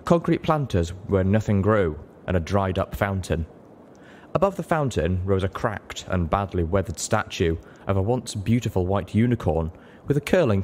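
A man's voice: low voice, a little mellow, a little hiss or hum. A man reading scripted story narration, in the manner of an audiobook. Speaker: audiobook-style reader, show host.

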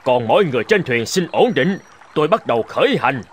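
A man's voice: mid-range voice, sing-song, brisk, a little hiss or hum, lightly acted. An actor playing an apologetic, harried old boatman in a cartoon folk tale, polite and pleading. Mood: announcing. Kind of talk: animated folk tale voice acting.

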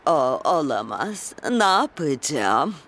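A woman's voice: sits low, sing-song, slow pace, heavy background noise, heavily acted. A woman voicing a polite, fretful and helpless vintage car in a children's cartoon dub. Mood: distressed. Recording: music behind the voice.

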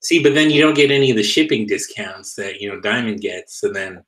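A man's voice: on the low side, a little fast. A young man co-hosting a casual video call chat, speaking loosely with many fillers as a former comics retailer. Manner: relaxed, loose with likes and you-knows. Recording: echoey room over a video call.

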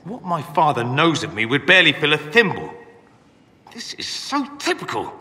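A man's voice: on the high side, wildly swooping pitch, moderate pace, sharp tone, big-room sound, heavily acted. An actor playing a witty, vain, wounded nobleman mage in a fantasy game, articulate and theatrical. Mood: scornful, wry. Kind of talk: dramatic fantasy game dialogue.

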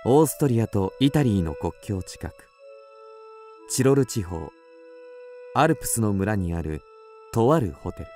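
A man narrating a literary radio drama in a measured, scene-setting way. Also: on the low side, very animated, darkish tone, faint music behind.

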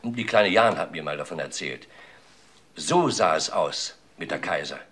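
A man's voice: deep voice, animated, measured pace, fairly bright tone, slightly rough, heavily acted. An actor playing a self-important male boss who blusters evasively under police questioning in a German crime radio play. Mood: defensive.

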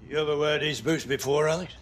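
A man playing a gruff, caring old grandfather and former footballer in a video game, with an instructive manner. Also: a little high, steady tone, fast, fairly bright tone, a little hiss or hum, lightly acted. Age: older. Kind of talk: acted video game dialogue.

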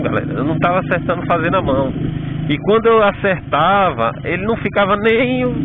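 A Brazilian man talking chattily while riding a motorcycle in the rain, preaching about faith and hard work, often asking "entendeu?"; recorded outdoors on a bike-mounted camera. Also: high-pitched. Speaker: motovlogger. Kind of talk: casual motivational talk while riding. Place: outdoors on a motorcycle on rainy rural and town roads. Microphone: helmet or bike-mounted action camera. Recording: tinny phone-like sound with loud road noise.

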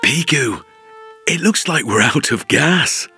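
A man's voice: a little high, lively intonation, takes it slowly, sharp tone, soft background music, full character voice. A man voicing a jolly, patient father parrot in a children's cartoon, explaining things playfully and expressively. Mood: cheerful, patient.

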